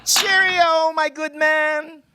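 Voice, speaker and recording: An actor playing a quaint, innocent, cheerful old guardian angel in a live radio play. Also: male voice, very high voice, flat delivery, extremely slow, pushed and bright, slight background noise, heavily acted. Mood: bright, eager.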